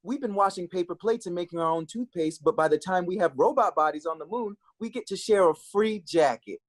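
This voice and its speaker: A man's voice: sits high, fairly quick. An actor playing a boyish, earnest, goofy young man in a scripted sitcom table read. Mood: indignant.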